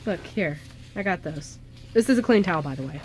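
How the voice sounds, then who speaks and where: Radio play role: gentle ghost lad played by a woman, sincere and halting, lightly noisy recording. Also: fairly low pitch, expressive, voice pushed down, lightly acted. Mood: earnest, tender. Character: earnest young man, a ghost, gentle loyal buddy. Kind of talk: amateur radio drama script reading.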